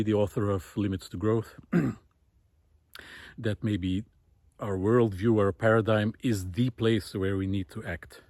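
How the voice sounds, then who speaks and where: A man giving an unscripted, reflective monologue while out walking, thoughtful and hesitant, pausing often as he finds his words. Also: fairly low pitch, darkish tone, dry recording. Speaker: host of a walking audio diary, former teacher. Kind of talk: unscripted reflective spoken monologue recorded on a walk. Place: outdoors, walking.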